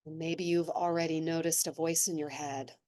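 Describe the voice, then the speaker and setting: A woman slowly and gently leading a guided meditation, speaking in a soothing way. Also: sits low, flat delivery, dry recording, clean studio sound. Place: seated in an office chair.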